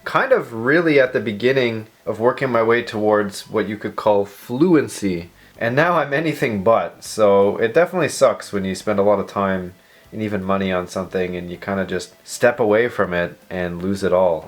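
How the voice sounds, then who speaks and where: A man's voice: lowish voice, lively intonation, normal speed. A man narrating a personal vlog in an informal, unscripted, self-deprecating way, rambling as he goes. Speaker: video host.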